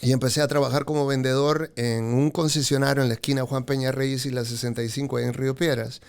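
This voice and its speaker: A man's voice: medium pitch, level tone, quick pace, clean tone. A man in his fifties, a guest on a podcast, telling his career story with many fillers and a habit of saying "correcto". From Peru. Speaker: podcast guest, car dealership expert. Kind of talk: casual interview storytelling.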